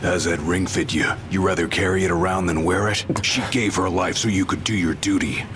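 A man acts out a big, hot-tempered bodyguard from a video game. His voice is low and gruff, and he talks bluntly and confrontationally. A clear rumble of vehicle noise runs behind him. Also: steady tone, a little fast, lightly acted. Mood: angry, scornful. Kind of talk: video game cutscene acting.

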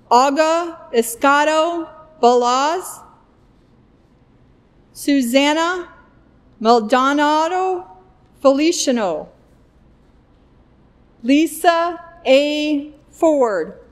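Slow, high-voiced woman reading names. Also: in a large hall. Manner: deliberate, evenly paced name reading at a podium. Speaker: commencement name reader. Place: indoor stage at a podium, recorded for a virtual ceremony. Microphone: podium microphone.

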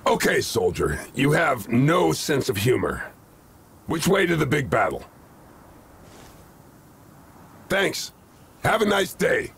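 An actor playing a cocky, wisecracking action hero in a video game, with a gravelly, deadpan delivery. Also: male voice, fairly high pitch, unhurried, heavily acted. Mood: sarcastic. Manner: deadpan, sardonic one-liners. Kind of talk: video game cutscene acting.

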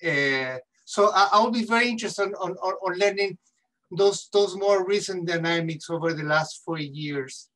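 A man's voice: high voice, a bit bright, recorded from a distance. A middle-aged man from Chile speaks English with a Chilean Spanish accent, stopping and repeating small words as he feels his way through a long question. He talks into a laptop on a video call from a home study, his voice sitting a little back from the microphone. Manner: halting, repeats words while searching for them. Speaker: audience questioner. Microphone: laptop webcam mic on video call.

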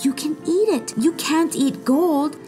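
A woman voicing a clever, calm young schemer in a storybook, measured and pausing for effect. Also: sits high, music in the background, pitched above the performer's own voice. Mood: matter-of-fact.

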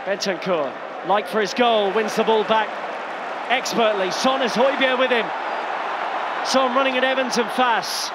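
High-pitched male football commentator, excited. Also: unhurried, very noisy. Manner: excited, emphatic delivery. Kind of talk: live match commentary. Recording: broadcast over crowd roar and music. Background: stadium crowd, music.

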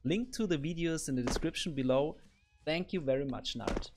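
Upbeat thirties male coach explaining gameplay step by step over soft background music. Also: a little high, expressive, moderate pace. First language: German. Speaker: gaming coach. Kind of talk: game tutorial narration. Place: home office. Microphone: boom arm studio mic.

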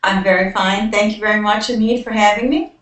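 A woman's voice: mid-range voice, fairly even intonation, fairly quick, fairly bright tone. A young American woman from New York, an author talking at length as a guest in a video call interview. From New York, United States. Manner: talkative, explains at length. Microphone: webcam on a video call. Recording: roomy webcam audio from a distance.

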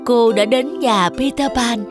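A woman narrates a scripted children's fairy tale as storyteller. Her voice sits a little high, with light music behind it. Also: a little slow.

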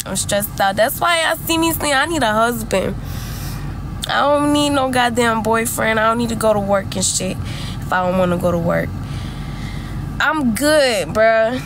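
A young woman livestreaming from a car on a phone, chatting bluntly and confessionally with heavy slang and swearing, her voice tired from yelling. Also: medium pitch. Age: young adult. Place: inside a car. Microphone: phone held close at arm's length. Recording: noisy phone audio with vehicle ambience.

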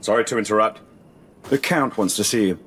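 A man's voice: fairly low pitch, a little fast, fairly bright tone, husky. A man playing a stern, formal, dutiful castle guard in a video game. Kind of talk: video game cutscene acting. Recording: echoing hall with faint ambient noise.

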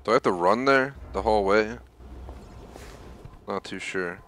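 A man's voice: fairly low pitch, measured pace. A man giving casual gameplay commentary as a streamer, thinking aloud and explaining as he goes. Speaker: gaming streamer. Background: loud game music.

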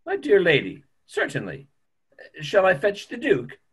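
A man's voice: high voice, huge pitch swings, unhurried, husky, exaggerated voice. A middle-aged man playing a grave, courteous doctor in a play reading, formal and emphatic. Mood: courteous, serious. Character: grave, courteous male doctor, firm in his opinions. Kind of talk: remote script reading of a stage play. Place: small room at home, video call.

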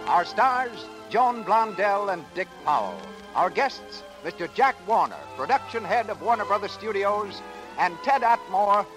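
A man's voice: sits high, low-quality recording. A man announcing and reading commercials in a formal, declamatory 1930s radio style. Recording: old 1930s radio broadcast.

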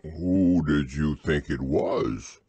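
A man voicing a slow, simple bear who loves food, speaking in a drawn-out, pondering way. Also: a bass voice, dark tone, slightly rough, dry recording, heavily acted. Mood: puzzled.

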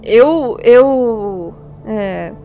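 A woman's voice: fairly high pitch, animated, extremely slow, warm tone, soft background music, heavily acted. An actress playing a caring, anxious young pregnant woman who stammers and hesitates in an audio drama.

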